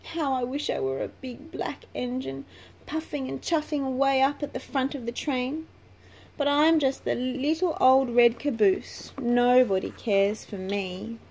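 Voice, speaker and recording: A woman's voice: high voice, steady tone, unhurried, a little mellow, voice pushed up. A woman voicing a small, glum, self-pitying male caboose from a children's picture book, in a plaintive, wishful manner. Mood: wistful, self-pitying. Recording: clearly noisy home recording.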